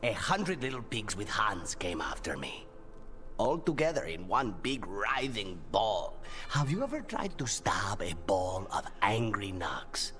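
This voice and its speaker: An actor playing a weary, wry assassin with a measured, dry way of telling things in a video game. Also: male voice, on the low side, very animated. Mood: wry, haunted. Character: weary, wry male assassin who cooks.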